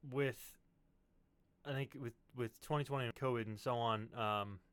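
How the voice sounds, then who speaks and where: Man, crisp clean voice, dry recording, wry, joking, casual co-host chat. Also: mid-range voice, fairly even intonation, moderate pace, fairly bright tone, no room sound. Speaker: podcast co-host.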